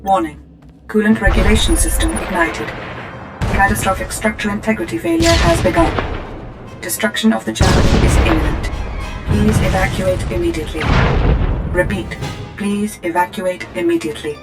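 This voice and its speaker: An actress playing an impersonal ship computer making formal emergency announcements in a video game. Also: female voice, mid-range voice, animated, moderate pace, creaky. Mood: impersonal urgency. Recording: loud music and battle effects.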